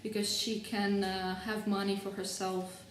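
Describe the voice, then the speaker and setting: A woman's voice: mid-range voice, flat delivery, unhurried, slight background noise. A woman from Russia, answering interview questions hesitantly and searching for words. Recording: echoey room, mic at a distance.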